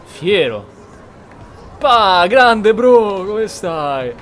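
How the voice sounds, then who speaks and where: A man's voice: high voice, very animated, very slow, a bit bright, slight background noise. A man giving casual roleplay commentary while playing a video game, with game music behind him. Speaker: gaming streamer.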